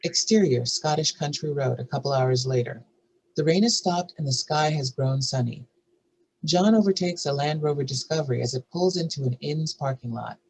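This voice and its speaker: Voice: mid-range voice, moderate pace, darkish tone, slightly rough, rough recording. A narrator reading out stage directions and scene headings in a scripted table read.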